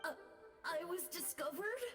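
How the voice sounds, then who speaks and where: A woman's voice: extremely high pitch, expressive, a little slow, over music. A woman voicing a timid, apologetic little boy ghost in a video game, shy and hesitant.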